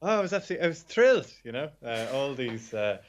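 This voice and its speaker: An Irish man, a sports journalist, chatting as a radio guest, slightly croaky and hungover, with quick laughs and restarts. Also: medium pitch, big pitch swings, slight background noise. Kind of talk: radio phone-in chat.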